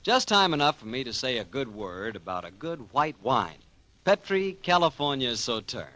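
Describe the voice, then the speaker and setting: A male vintage radio announcer with a medium-pitched voice. He is folksy and enthusiastic, delivering his lines with lively, sweeping intonation like a friendly salesman. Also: normal speed. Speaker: announcer and sponsor spokesman.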